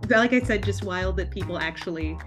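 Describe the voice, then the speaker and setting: Young woman on a podcast chatting away, giggly, says like a lot, normal pitch, bit of music behind. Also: a little fast. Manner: chatty, frequent "like", giggles between phrases. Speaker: podcast guest, debut author. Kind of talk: casual conversational interview.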